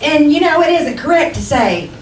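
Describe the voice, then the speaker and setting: A woman's voice: high-pitched, very animated, normal speed. A woman playing an exasperated but affectionate wife who chides her husband, in 1940s-style radio comedy. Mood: gently scolding. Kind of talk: 1940s radio comedy acting, live stage. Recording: echoey distant stage pickup, clear noise.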